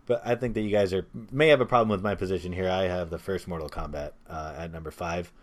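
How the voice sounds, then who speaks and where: A man's voice: sits low, steady tone, fast talker. A man co-hosting a podcast, talking casually about movies in an informal, slangy way. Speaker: podcast co-host.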